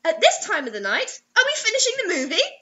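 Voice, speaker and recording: A woman's voice: extremely high pitch, wildly swooping pitch, fast, bright, forceful tone, muffled recording, pitched above the performer's own voice, full character voice. A woman voicing an anxious, exasperated young woman in a story, with urgent questioning delivery. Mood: alarmed.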